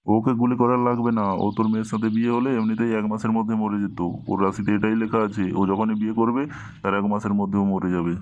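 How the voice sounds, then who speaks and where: A dry, muffled cartoon recording of a man acting as a self-important village holy man. He scolds and preaches in a low voice, rattling along with little melody. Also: level tone, fast talker, darkish tone, dry recording, close to an everyday voice. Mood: stern, knowing. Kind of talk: comedy cartoon dialogue. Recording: dull lo-fi, very dry cartoon dub.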